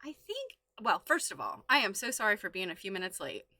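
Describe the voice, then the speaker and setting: Bright-voiced woman chatting on a livestream. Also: mid-range voice, wildly swooping pitch, brisk, no echo. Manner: chatty, giggly, playful. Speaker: livestream host. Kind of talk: casual livestream chat.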